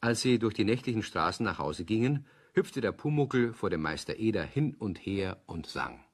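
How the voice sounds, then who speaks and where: An older man narrating a children's audio play as an avuncular storyteller with a husky voice. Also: lowish voice, sing-song, normal speed, full character voice.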